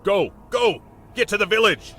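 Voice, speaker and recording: A man's voice: sits high, big pitch swings, moderate pace, sharp tone, a little hiss or hum, voice pushed up, heavily acted. A man voicing a commanding cavalry general in a horror story, urgent and hiding his fear. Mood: panicked shouting. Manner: barking, urgent orders. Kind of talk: dramatic horror story character.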